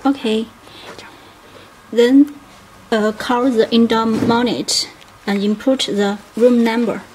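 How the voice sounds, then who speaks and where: A woman demonstrating a product step by step without a script, speaking in short, halting fragments. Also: on the high side, fairly even intonation, darkish tone. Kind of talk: unscripted step-by-step product tutorial. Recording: noisy room with clicks.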